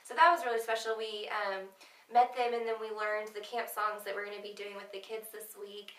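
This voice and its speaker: Giggly bright young woman. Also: mid-range voice, flat delivery, a little fast. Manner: giggly, chimes in with short agreements. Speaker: mission trip vlogger. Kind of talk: casual chat. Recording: echoey room, mic at a distance.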